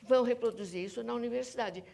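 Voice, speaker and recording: A woman's voice: fairly high pitch, quick pace, vocal fry, a little mellow, a little hiss or hum. A Brazilian woman speaking emphatically as an academic guest in a panel discussion, insisting on her points and repeating them. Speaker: academic guest, researcher and author.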